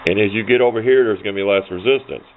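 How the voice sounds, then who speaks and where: A man's voice: lowish voice, quick pace. A man giving an informal hands-on electronics tutorial in a folksy, rambling way. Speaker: hobbyist demonstrating electronics. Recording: dull lo-fi sound, faint background noise.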